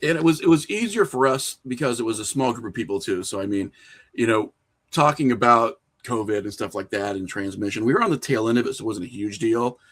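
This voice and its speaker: A man's voice: medium pitch, lively intonation, brisk, a bit bright. A man talking informally as an interview guest, telling anecdotes in a self-deprecating, conversational way. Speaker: podcast guest, indie filmmaker.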